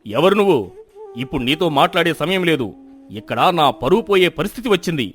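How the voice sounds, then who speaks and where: Man acting a pompous village landlord, lively high pitch. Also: quick pace, faint music behind, lightly acted. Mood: stern. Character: proud, commanding village landlord anxious about his honour. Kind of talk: Telugu moral story cartoon dialogue.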